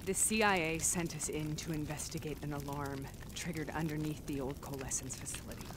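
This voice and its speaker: A woman's voice: deep voice, steady tone, brisk, background noise, close to an everyday voice. A woman playing a troubled, earnest female soldier in a video game, with a restrained dramatic delivery. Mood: haunted, subdued.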